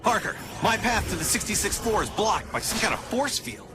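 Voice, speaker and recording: A man's voice: high voice, very animated, a little fast. A man voicing a serious, commanding superhero from the future in a video game. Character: stern, urgent male superhero from the future. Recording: loud music behind the voice.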